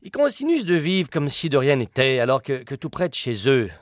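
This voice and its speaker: A man's voice: medium pitch, very animated, normal speed, dry recording, clean studio sound, lightly acted. A Quebec man playing a pompous, haughty intellectual in a comic radio monologue, with theatrical, affected diction, indignant and rambling. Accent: Quebec French. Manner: theatrical, affected formal diction with crude slips.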